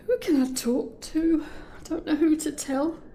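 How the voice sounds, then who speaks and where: A woman's voice: high-pitched, steady tone, unhurried, very noisy. A woman playing an anxious but determined young escort in an intimate, conversational audio drama monologue. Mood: troubled, confiding. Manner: confiding, conversational.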